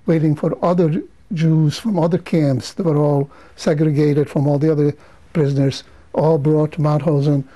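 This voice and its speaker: An older man from Romania, whose first languages are Hungarian, Romanian, Yiddish and Hebrew, speaks slowly with pauses and restarts. His voice sits a little high but has a dark, mellow tone. He talks in a measured way, as in an interview. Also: poor audio. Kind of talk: testimony interview.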